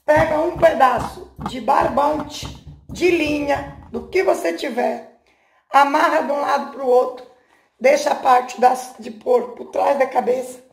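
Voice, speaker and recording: Chatty lady in her 50s talking through a craft at home, kinda high voice, asks the viewer stuff while her hands work. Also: fairly even intonation, unhurried, creaky, a little hiss or hum. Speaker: craft channel host. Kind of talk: casual hands-on tutorial. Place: home room, indoors.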